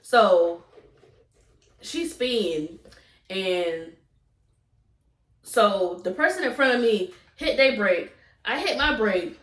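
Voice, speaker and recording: A woman's voice: medium pitch, animated, takes it slowly, long pauses, a bit bright. Southern woman chatting, roomy and distant. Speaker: vlogger. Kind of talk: casual storytime chat. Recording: roomy echo, distant from mic.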